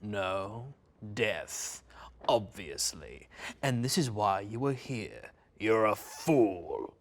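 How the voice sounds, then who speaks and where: An actor playing a cruel, contemptuous executioner who passes judgment with pompous scorn in a theatrical fantasy table read. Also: male voice, on the low side, sing-song, drawn-out words, full character voice. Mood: cold contempt.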